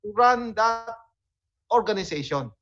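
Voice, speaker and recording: A man's voice: high-pitched, animated, unhurried, a bit bright. A man with a Filipino accent comments conversationally and emphatically as co-host over a video call. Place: indoor room, on a video call. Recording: muffled video-call audio.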